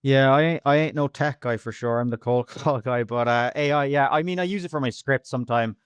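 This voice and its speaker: A man's voice: mid-range voice, a little fast, clear, resonant tone, no echo. An Irish man giving casual interview answers in a plain-spoken, slangy, blunt way. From Ireland. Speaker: podcast guest, freelance cold caller.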